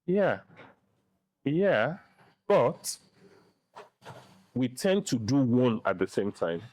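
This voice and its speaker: A middle-aged man with a Nigerian accent giving opinions in a podcast interview, speaking deliberately and repeating phrases for emphasis. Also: mid-range voice, big pitch swings, darkish tone. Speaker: interview guest, film director and writer.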